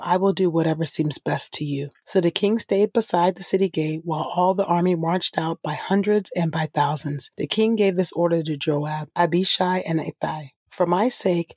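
A woman reading scripture from a script as a podcast host, measured and clearly enunciated. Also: low voice, darkish tone, no room sound, clean studio sound.

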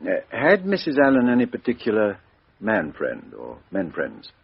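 An actor playing a methodical middle-aged police inspector, asking businesslike questions in a 1950s radio drama. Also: male voice, on the low side, wildly swooping pitch, moderate pace, gravelly, slightly rough, exaggerated voice. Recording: dull 1950s radio recording.

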